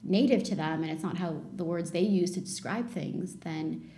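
Woman founder, reflective and measured, lowish voice, roomy echo. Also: dark tone. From Lebanon, raised in Canada. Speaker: startup founder and CEO. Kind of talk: interview conversation. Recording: echoey room.

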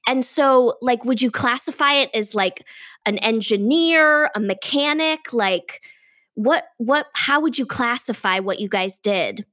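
A woman's voice: fairly high pitch, lively intonation, measured pace, sharp tone. A woman from Detroit with a nasal voice, hosting an interview with eager, filler-heavy questions. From Detroit, USA. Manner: enthusiastic, frequent "like" fillers. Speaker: podcast host. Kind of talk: casual interview chat.

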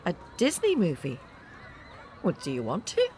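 A woman's voice: on the low side, huge pitch swings, normal speed, darkish tone, a little hiss or hum. A middle-aged woman acting in an audio drama as an anxious, wounded mother of a teenage son, hesitant in her speech. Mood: hurt, placating.

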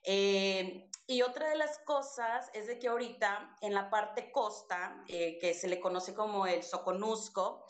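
A woman from Chiapas speaks with a Mexican accent, in a mid-pitched voice. She tells stories in a chatty, warm way with lots of fillers. Her voice echoes around a roomy space. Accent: Mexican Spanish. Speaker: podcast guest voice. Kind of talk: casual storytelling chat.

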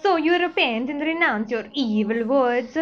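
A woman's voice: high voice, lively intonation, a little slow, faint music behind. A woman playing a stern, pompous male Pope in a comedy sketch, speaking formally. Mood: exasperated. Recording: dull, lo-fi audio.

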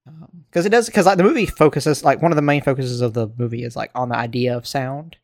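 An American man chatting casually and telling stories in a rambling, animated way. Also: medium pitch, quick pace, dry recording, studio quality. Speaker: podcast co-host. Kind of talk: casual chat, storytelling.

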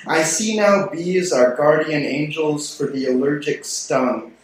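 Slow-paced man reading poetry in echoey room. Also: a little high. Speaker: poet reading at a literary event. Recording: very echoey room, faint noise.

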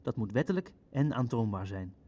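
An actor playing a cautious, legalistic Nazi official in a naturalistic audio drama, speaking formally and precisely. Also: male voice, medium pitch, big pitch swings, brisk, warm tone. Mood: formal, measured. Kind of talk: Dutch audio drama acting, naturalistic meeting dialogue.

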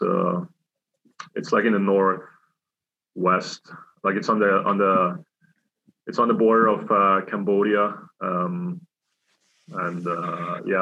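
A young man from Switzerland talks through his answers in a halting way, filling gaps with "like" and "you know." His voice sits very low with a gravelly edge and a bright, forceful tone that stays flat in pitch. The recording sounds dull and lo-fi. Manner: halting, many fillers and restarts. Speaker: hockey player. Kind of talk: casual interview answers.

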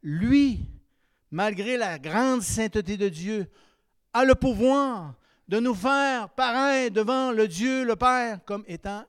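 A man in his fifties with a Québécois French accent, preaching a sermon that swings between raised exhortation and quiet, confiding asides. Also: sits high, takes it slowly, clean tone, no echo, studio quality. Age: 50s.

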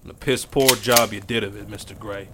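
A man's voice: on the low side, steady tone, brisk, heavy background noise, lightly acted. A man doing a grim, weary ex-sheriff. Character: weary male ex-sheriff gunslinger, grim and stubborn.

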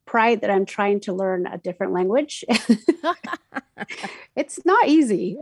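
A woman's voice: medium pitch, no room sound. A woman with a Mexican Spanish accent, a native Spanish speaker who grew up in Mexico City, talks through her radio show in a loose, chatty way. She restarts often and leans on fillers. Her voice sits close and dry. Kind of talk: casual interview answers.

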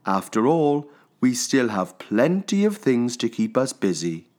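A sleepy daddy grizzly bear from a children's storybook, put on by a man. He speaks slowly and wearily, stretching his words with patient emphasis and big rises and falls. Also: medium pitch, big pitch swings, darkish tone, voice pushed up. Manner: mumbling, yawning, drawn-out emphasis.

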